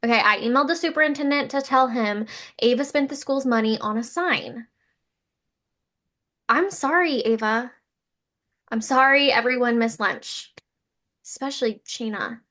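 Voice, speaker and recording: Woman acting high, bright, earnest young teacher. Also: moderate pace, long pauses. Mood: earnest, apologetic. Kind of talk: sitcom table read.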